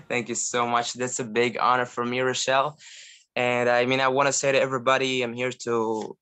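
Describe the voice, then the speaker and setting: A man's voice: mid-range voice, moderate pace, clean tone. Young Egyptian man with American-style English. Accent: American-style English learned by a non-native. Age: 20s. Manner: animated, laughing often, frequent restarts. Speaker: guest polyglot. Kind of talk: casual conversational interview.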